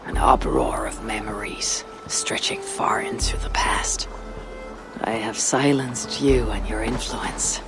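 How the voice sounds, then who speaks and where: An actress playing a weathered, brooding Viking warrior woman in a video game, speaking in a husky, solemn, deliberate way. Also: a bass voice, heavily acted. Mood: hushed, brooding. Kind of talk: dramatic video game acting. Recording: loud music under the voice.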